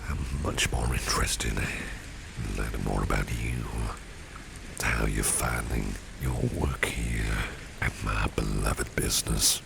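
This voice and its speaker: A man acts out a gentlemanly, protective boss in a flirtatious ASMR roleplay. His voice is exceptionally low and heavily creaky, sinking into a breathy half-whisper. He takes his time with suave, courtly phrases while rain and street sounds are clearly heard behind him. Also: takes it slowly, heavily acted. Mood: caring, protective. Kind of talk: ASMR roleplay spoken to the listener. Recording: steady rain and street ambience noise. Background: rain falling, passing vehicles.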